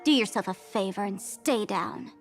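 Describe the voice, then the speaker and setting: A woman's voice: medium pitch, normal speed, soft background music, natural voice. A woman voicing a mischievous, flippant young trickster performer in a video game, breezy and mocking. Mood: cool scorn.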